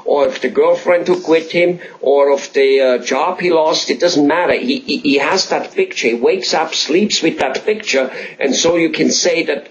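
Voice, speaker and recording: A man's voice: fairly high pitch, moderate pace, few pauses, dull audio, a little hiss or hum. A man from Austria interviewing a doctor, talking in a rambling way with many fillers and restarts. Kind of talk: casual interview chat.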